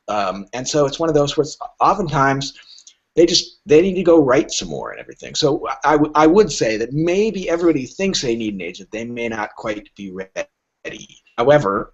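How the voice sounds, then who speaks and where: A middle-aged or older man gives candid, emphatic interview answers, his pitch swinging widely as he acts out imagined exchanges. His voice is somewhat husky rather than crisp. The podcast recording is dull and lo-fi. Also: sing-song, normal speed. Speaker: literary agent interviewed as a podcast guest.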